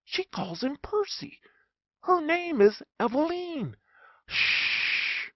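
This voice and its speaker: A narrator voicing an excitable, pompous German professor in a comic audiobook, dramatic and exclaiming, often hushed. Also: sits high, slow pace, dull audio, background noise, voice pushed up, exaggerated voice. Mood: alarmed whispering. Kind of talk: comic audiobook character acting.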